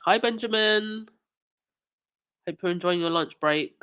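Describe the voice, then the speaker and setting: A woman's voice: medium pitch, measured pace, fairly bright tone, clean tone. A woman hosting a live chat stream, thanking viewers and reading comments, indignant at times, with a stuffy nose from a cold. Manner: indignant, talks back to chat and supporters. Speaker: livestream host.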